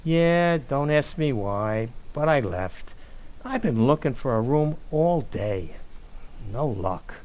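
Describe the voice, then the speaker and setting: A man acting a hapless, soft-hearted working-class husband in a radio comedy, with an American accent and a hesitant, stammering, blustery delivery. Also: medium pitch, animated, takes it slowly. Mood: weary, downcast.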